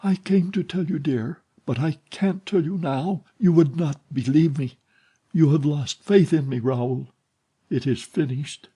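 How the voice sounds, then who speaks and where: A man voicing a grave, despairing young woman singer in an audiobook reading, speaking softly and pleadingly. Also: a little high, animated, takes it slowly, breathy edge, voice pushed up, full character voice. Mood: sorrowful.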